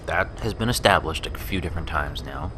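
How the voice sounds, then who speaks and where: A man who is a gaming streamer, giving casual commentary and thinking aloud in halting phrases. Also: a bass voice, background noise.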